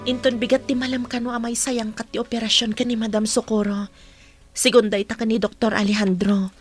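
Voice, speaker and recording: A woman playing a concerned friend confiding in another in a radio drama, with a breathy, husky voice. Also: fairly high pitch, level tone, a little fast, soft background music, natural voice. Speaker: voice actor.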